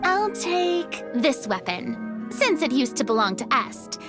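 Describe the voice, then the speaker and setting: A woman's voice: sits high, sing-song, takes it slowly, over music, exaggerated voice. A woman voicing a frank young adventurer who loves the arts.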